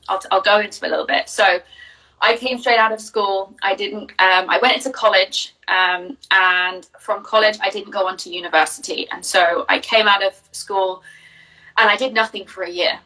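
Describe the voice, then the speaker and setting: A woman's voice: medium pitch, moderate pace, pushed and bright, distant mic, low-quality recording. A young woman from Jersey, a business coach, chatting openly in an interview and telling her story with plenty of fillers. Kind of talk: casual interview answers.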